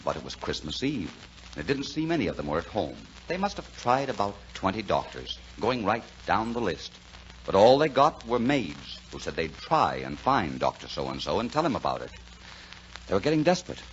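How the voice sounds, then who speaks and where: A man's voice: sits low, very animated, moderate pace, slight background noise. An actor playing a plain-spoken, reassuring American man telling a Christmas story in 1940s radio drama style. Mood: measured storytelling. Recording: dull 1940s radio broadcast, music behind.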